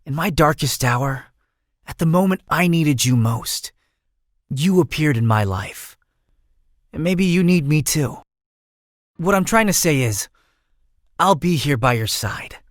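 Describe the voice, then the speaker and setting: Young exiled prince, video game, boyish, higher pitch, dry studio sound, heartfelt, sentimental. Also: male voice, lively intonation, unhurried, long pauses, no room sound. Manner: heartfelt, boyish, self-conscious. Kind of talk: video game character acting.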